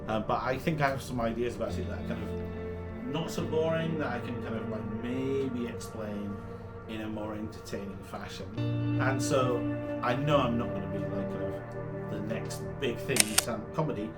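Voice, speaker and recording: A man's voice: medium pitch, normal speed, loud music behind the voice. Rambling self-deprecating Yorkshire man. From England. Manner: rambling, self-deprecating, many fillers and restarts. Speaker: interview guest, comedian and project manager. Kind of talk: casual conversational interview.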